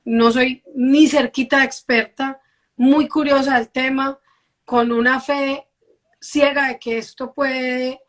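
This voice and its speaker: Earnest higher-pitched woman hosting a live. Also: steady tone, slow. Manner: earnest, encouraging. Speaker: host. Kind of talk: casual live-stream chat.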